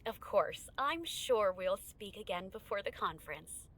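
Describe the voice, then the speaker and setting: Actress doing a poised lady scientist in an audio drama, bright voice, lively pitch, formal and clinical. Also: a little slow. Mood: polite, businesslike. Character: poised professional woman leading a tech research team. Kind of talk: scripted audio drama acting.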